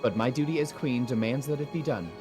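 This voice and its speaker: A man voicing a young queen in game dialogue, gentle yet resolute, speaking formally and earnestly. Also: mid-range voice, fairly quick, over music, close to an everyday voice. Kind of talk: game dialogue read aloud in a fan dub.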